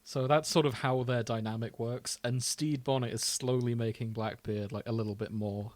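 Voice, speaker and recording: Male co-host, mid-pitched, dry recording, conversational explainer who searches for his words. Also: fairly even intonation, moderate pace, no room sound. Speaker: podcast co-host. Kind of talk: casual chat about a TV show.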